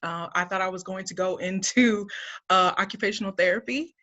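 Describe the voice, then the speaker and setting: Woman in her 30s, recruiter presenting to students, earnest and upbeat, bright forceful voice, muffled lo-fi call audio. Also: mid-range voice, brisk. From Montgomery, Alabama, US. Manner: upbeat and earnest, laughs lightly between points.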